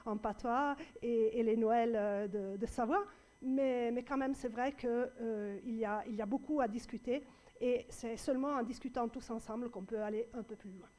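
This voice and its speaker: A woman's voice: a little high, fairly even intonation, normal speed, mellow. A woman moderating a public panel debate, speaking with many fillers and restarts. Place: public hall with audience.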